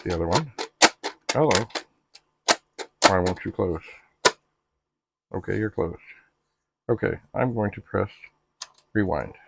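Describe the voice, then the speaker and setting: Middle-aged man, low creaky voice, halting casual demo narration, droll wording, talking to himself. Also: lively intonation, fairly bright tone, a little hiss or hum. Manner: halting, musing aloud to himself and the gear. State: frequent coughing and throat clearing. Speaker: retro computer hobbyist and video host. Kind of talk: casual hands-on demonstration narration.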